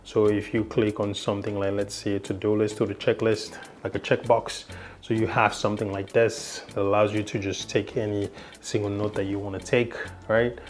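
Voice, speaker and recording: A young man with a low voice, not a native English speaker, talks through a gadget's features in a casual review. He rambles, restarts and leans on fillers. He is seated in a small room indoors. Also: flat delivery, normal speed. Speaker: tech reviewer. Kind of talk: casual product demo.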